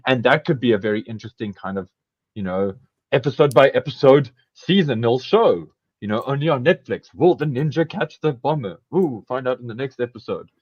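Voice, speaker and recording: A man's voice: medium pitch, expressive, brisk, breathy edge, dry recording, highs cut off. A man from Africa, hosting a casual podcast chat. He rambles, corrects himself often and laughs a lot. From South Africa. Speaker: podcast host.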